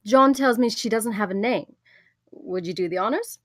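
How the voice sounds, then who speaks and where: Casting: woman as wry fantasy archer for hire, higher-pitched, brisk delivery, dry close recording. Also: no echo, natural voice. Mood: gentle. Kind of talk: table read of a fantasy film script.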